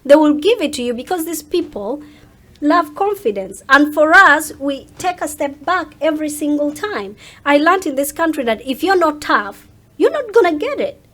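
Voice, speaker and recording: Interview guest: woman, high-pitched, Ugandan accent, emphatic and outspoken conversational delivery. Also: lively intonation, moderate pace, fairly bright tone. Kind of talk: animated casual interview talk.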